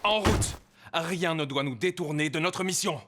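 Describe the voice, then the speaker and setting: A man playing a stern, excitable policeman in a French cartoon dub. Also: a little high, a little slow, noisy background, natural voice.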